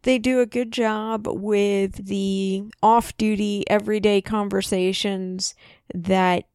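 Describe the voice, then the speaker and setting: A woman's voice: medium pitch, little pitch movement, slow pace, a little mellow, clear, resonant tone, dry recording, clean studio sound. A woman co-hosting a podcast, discussing television casually in an analytical, conversational way. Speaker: podcast co-host.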